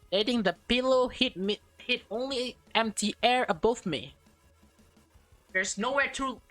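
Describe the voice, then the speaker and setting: A woman let's player reads a visual novel aloud at an unhurried pace, tripping on words and repeating them. She drops casual, slangy asides between passages. The sound is close and dry, with faint music playing behind her. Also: medium pitch, dry recording. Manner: stumbles over words while reading, repeats phrases; slangy, excitable reactions.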